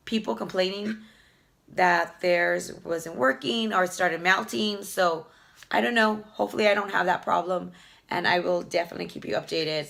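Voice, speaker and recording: A woman beauty vlogger chatting casually through an unboxing, hoarse from a lingering cough, rambling fondly about each item. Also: mid-range voice, fairly bright tone. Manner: chatty, fond, rambling product talk with fillers. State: hoarse, losing her voice from a cough.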